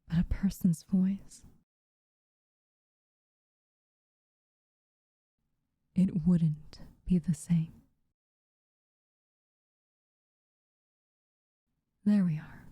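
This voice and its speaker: A woman voicing a guarded, haughty yet secretly tender witch in an ASMR roleplay, softly and breathily, with slow, formal phrasing. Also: lowish voice, fairly even intonation, no echo, clean studio sound, exaggerated voice. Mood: firm, gentle. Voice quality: soft, breathy, often near-whispered. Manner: slow, formal, measured wording with reluctant warmth.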